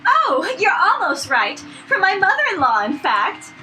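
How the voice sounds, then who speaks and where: A woman's voice: very high-pitched, wildly swooping pitch, a little slow, pushed and bright, soft background music, voice pushed up, heavily acted. A woman voicing a prim, gushing, well-dressed lady shopper in a visual novel let's play. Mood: pleased. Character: well-dressed female customer, prim, gushing, high-voiced. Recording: noisy room recording, no proper mic.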